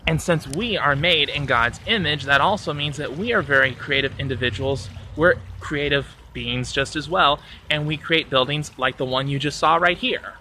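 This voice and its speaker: Bright-voiced man in his thirties for a casual outdoor vlog, earnest and rambling, phone held at arm's length, faint traffic behind. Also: on the high side, normal speed, hardly any pauses, poor audio. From Florida. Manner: rambling, earnest, self-correcting. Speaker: vlogger. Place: outdoors by a church on a sunny day. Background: faint traffic and outdoor ambience.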